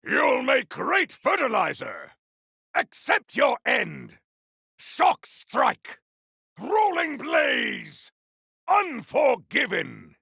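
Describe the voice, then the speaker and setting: A man voicing a proud, battle-hungry video game warrior, speaking in a theatrical, formal, declamatory manner with a gravelly, forceful edge. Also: very high voice, huge pitch swings, drawn-out words, dry recording, studio quality, full character voice. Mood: battle fury. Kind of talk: video game combat barks.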